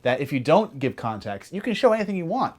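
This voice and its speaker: Man, fairly high voice, very lively intonation, casual film banter, co-host. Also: quick pace. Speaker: co-host of a film trailer show. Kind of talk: casual film commentary chat.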